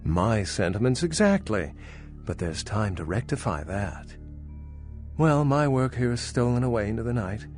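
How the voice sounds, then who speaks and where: A man's voice: mid-range voice, big pitch swings, normal speed, darkish tone, soft background music, natural voice. An actor playing a centuries-old vampire scholar in a video game, articulate, skeptical and dryly condescending as he lectures. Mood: thoughtful. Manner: articulate, lecturing, wry, pedantic. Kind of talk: video game dialogue acting.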